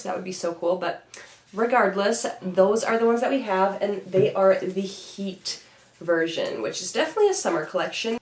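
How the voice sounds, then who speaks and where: Casting: young woman, stuffy from a cold, upbeat chatty vlogger, filler-heavy, recorded in a slightly echoey room. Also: mid-range voice. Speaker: beauty vlogger. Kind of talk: casual product review. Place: bedroom vanity room, indoors.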